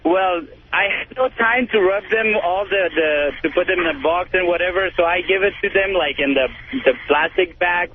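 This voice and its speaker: A man's voice: sits high, normal speed. A man calling a radio show, telling a story casually in a rambling, hesitant way, eager to explain himself. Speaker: phone-in caller. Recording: tinny, noisy phone line on radio.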